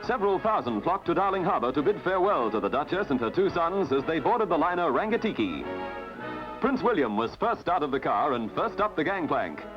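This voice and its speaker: A male newsreel narrator with a fairly high, very lively voice. He declaims his script formally. Music plays behind him on a dull, band-limited old soundtrack. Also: fairly quick.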